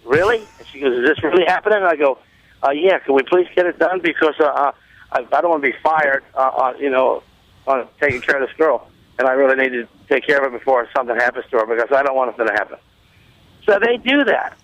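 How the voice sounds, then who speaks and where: A man's voice: medium pitch, fast, fairly bright tone, no room sound, dull audio. A man telling a casual comic anecdote on talk radio, rambling along and acting out the dialogue as he goes. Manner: rambling storyteller, acts out quoted dialogue. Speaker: show guest telling a story.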